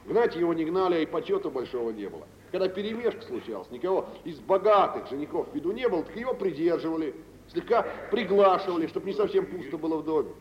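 An actor playing a shrewd, gossipy young merchant in a 1940s Russian radio play, telling tales in an expressive, teasing way. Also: male voice, on the high side, fast talker. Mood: mocking, gossipy. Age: young adult. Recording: dull, echoey, hissy old radio recording.

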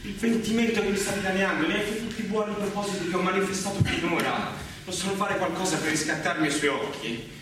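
A man's voice: high voice, fast talker, close to an everyday voice. A man playing an anguished, disgraced army lieutenant, pleading and evasive, in a stage drama. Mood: pleading, desperate. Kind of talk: live stage-style Shakespeare drama acting in Italian. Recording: noisy, echoey hall, distant microphone.

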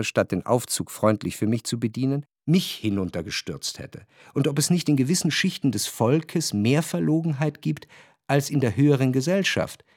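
An actor playing an introspective, wryly analytical narrator in a historical radio play, speaking in a measured, articulate, reflective way. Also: male voice, mid-range voice, darkish tone, no room sound, studio quality.

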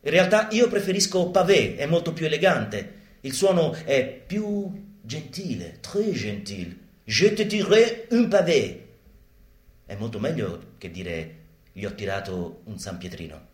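A man performing a theatrical spoken-word monologue in a deliberate, dramatic manner, moving between declaiming and hushed delivery. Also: mid-range voice, big pitch swings. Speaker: stage actor and monologue performer. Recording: roomy live sound with music behind. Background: live instrumental music.

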